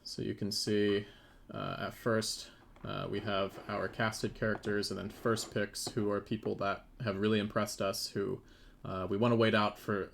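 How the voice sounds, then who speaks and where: A man's voice: lowish voice, level tone, normal speed, creaky. A man talking casually as a game developer on a livestream, explaining his work. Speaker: game developer and 3D modeler on a livestream team panel. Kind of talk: casual livestream chat and demonstration. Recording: faint background noise, keyboard typing.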